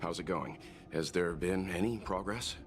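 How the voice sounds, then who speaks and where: A man playing a composed, thoughtful mature mentor with a measured, deliberate manner in a video game. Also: low voice, music in the background, natural voice. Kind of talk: anime-style video game voice acting.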